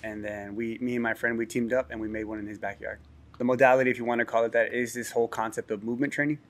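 A man's voice: mid-range voice, level tone, fast. A man in his thirties from Texas and Chicago, explaining his training gym in a sit-down interview in an indoor gym, earnest and full of "uh" restarts. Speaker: gym owner and ninja athlete.

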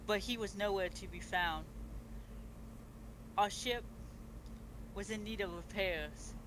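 A man playing a solemn beastman empress in online game role-play, making a formal, halting speech. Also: high voice. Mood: grave storytelling. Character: Empress Dragon, solemn ruler of fantasy beastmen. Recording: noisy amateur game voice chat.

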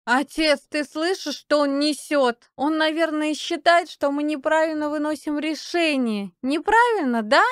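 High-pitched nagging mother, played by a man. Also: a little slow, hardly any pauses, fairly bright tone, dry recording, studio quality. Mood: indignant, scolding. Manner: exasperated, scolding, rhetorical questions. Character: indignant, nagging mother in her late thirties.

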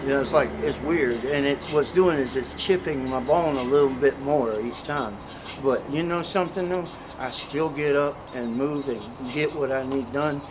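A man's voice: medium pitch, moderate pace, noisy background. A man in a casual street interview who tells his story in an earnest, preaching way, filling his talk with "you know.".

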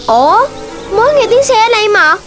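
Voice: extremely high pitch, sing-song, moderate pace. A performer voicing a mischievous, whiny young puppy boy in a children's cartoon, with a childlike, pleading manner. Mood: flustered, complaining. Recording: music behind the voice.

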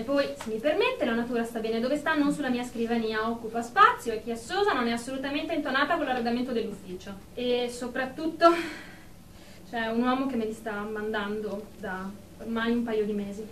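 A woman's voice: a little high, brisk, a bit bright, lightly acted. An actress playing a haughty, easily offended professional woman in a radio drama, speaking in a formal, superior, indignant manner. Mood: prim. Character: haughty, prim, easily offended female office professional in therapy. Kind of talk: Italian radio drama acting. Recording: echoey room, distant mic, background noise.

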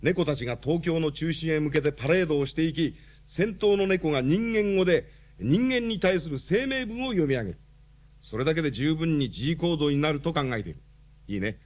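Radio-drama revolutionary chairman: crisp, authoritative, stern yet patient, slightly high-pitched. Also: animated, brisk. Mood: commanding. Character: brisk, commanding young revolutionary chairman, stern but patient. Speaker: leader giving a briefing.

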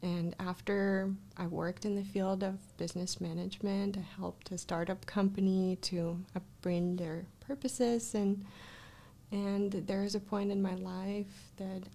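Creaky-voiced Russian woman in conversation. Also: medium pitch, little pitch movement, measured pace, dark tone. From Russia, born in Kazakhstan. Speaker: life coach, podcast guest. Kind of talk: casual interview, telling personal story. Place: small podcast studio, indoors. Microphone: close studio microphone, headphones.

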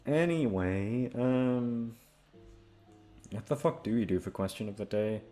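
A man's voice: medium pitch, lively intonation, a little slow, dark tone, faint music behind. A young man chatting off the cuff to his listeners, rambling and hesitant, with casual swearing. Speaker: ASMR audio creator, voice actor.

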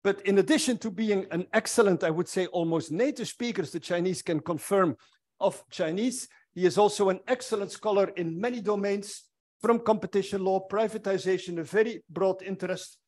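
A man's voice: sits high, normal speed, darkish tone. A middle-aged man, chairing an academic conference from a lectern in a wood-panelled lecture hall, genial and lightly joking. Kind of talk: welcoming remarks at an academic conference. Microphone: lectern microphone.

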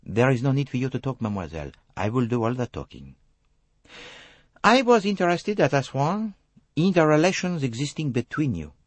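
Slow, deliberate, courteous foreign detective. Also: male voice, medium pitch, no echo, pitched above the performer's own voice. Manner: slow, emphatic, formal phrasing.

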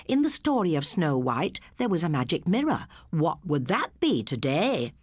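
An English woman voicing an eager, rambling male schoolteacher in a storybook, deliberate and lecturing. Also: sits low, sing-song, slightly rough, no room sound, clean studio sound, full character voice.

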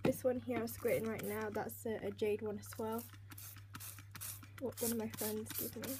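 A young woman with a slightly croaky voice, chatting casually as she narrates each step of a pet-care routine while filming on a handheld phone at home. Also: a little high, level tone, moderate pace, mellow. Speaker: pet keeper vlogger. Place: indoors at home by snail tanks. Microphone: handheld phone camera. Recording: noisy home phone recording.

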